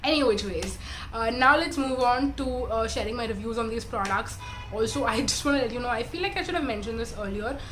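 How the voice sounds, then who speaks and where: A woman, hoarse and congested from a cold, chatting casually through a skincare review with lots of fillers and self-corrections. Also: fairly high pitch, a little fast, music in the background. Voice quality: raspy. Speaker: beauty vlogger.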